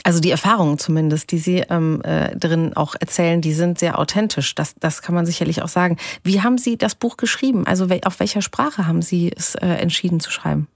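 A woman's voice: lowish voice, brisk, vocal fry, few pauses, no echo. Lady radio host, chatty questions w some ums, dry studio mic. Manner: conversational, hesitant questions. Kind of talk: radio interview. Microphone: studio microphone.

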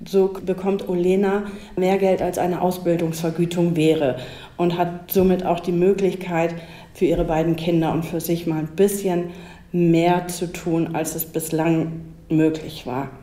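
A woman who owns a company, answering interview questions in a lively way and laughing easily. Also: lowish voice, measured pace, roomy sound. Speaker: company owner. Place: company office.

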